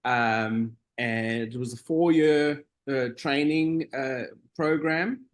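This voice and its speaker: Man, middle-aged, from South Africa, interview guest, meandering storyteller, pauses often, mid-pitched voice. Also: steady tone. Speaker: guest, operating room nurse. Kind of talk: casual interview conversation.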